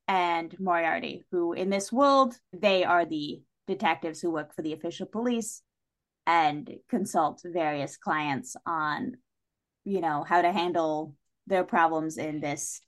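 A woman's voice: on the low side, a bit bright. A woman guest on a podcast, explaining a plot in a casual, conversational way and acting out bits of imagined dialogue. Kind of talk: casual spoken plot synopsis.

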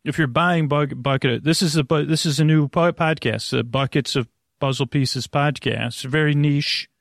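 A man hosting a bedtime podcast, rambling gently and haltingly to the listener, stammering and drifting into tangents in a friendly way. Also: on the high side, fairly even intonation, no room sound, studio quality. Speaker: podcast host. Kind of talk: rambling, meandering bedtime monologue addressed to the listener.

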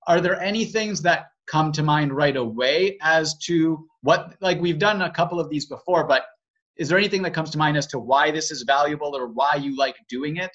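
A man with a slightly high voice talks as a podcast co-host. His delivery is casual and conversational, and the recording is a little muffled and lo-fi. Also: normal speed, fairly bright tone. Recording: slightly dull, lo-fi audio.

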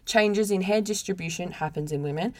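A woman's voice: on the low side, fairly quick, darkish tone, no room sound. A young adult woman chatting casually with friends, explaining things bluntly and swearing freely. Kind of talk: casual chat among friends.

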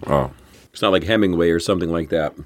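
Low-voiced co-host with a frog in his throat. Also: fast. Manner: easygoing, brief reactions and chuckles. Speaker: podcast co-host. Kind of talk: casual chat.

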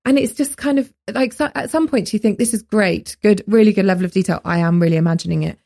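A woman's voice: mid-range voice, fast, vocal fry, warm tone. A woman, co-hosting a podcast and chatting casually about a book, laughing often. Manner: chatty, laughs often, quotes her own thoughts aloud. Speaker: podcast co-host. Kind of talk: casual book discussion chat.

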